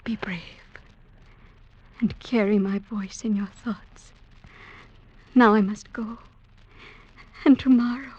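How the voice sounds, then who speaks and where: An actress playing a tender young woman bidding her beloved farewell in a soft, breathy, hushed radio drama style. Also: mid-range voice, steady tone, slow pace, long pauses. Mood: tender, sorrowful. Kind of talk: 1940s radio drama acting. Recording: dull, muffled vintage radio recording.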